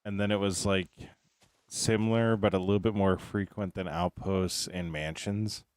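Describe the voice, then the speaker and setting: Deep-voiced man rambling while gaming. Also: fairly even intonation, moderate pace, a little mellow, no echo. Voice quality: deep low voice. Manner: rambling, musing, repeats himself. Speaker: game streamer. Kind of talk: casual chat while playing.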